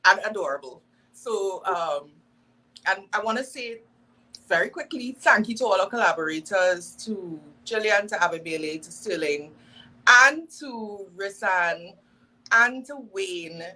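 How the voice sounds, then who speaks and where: A woman's voice: medium pitch, expressive, unhurried, bright, forceful tone, rough recording. A woman, co-hosting a talk show and cheerfully promoting projects. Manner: upbeat, promotional. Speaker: co-host. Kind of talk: casual chat.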